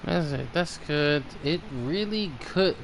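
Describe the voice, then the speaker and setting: A man's voice: on the high side, slow, gravelly, low-quality recording. A man livestreaming a game, chatting casually and reacting as he plays. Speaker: game streamer. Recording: faint background noise.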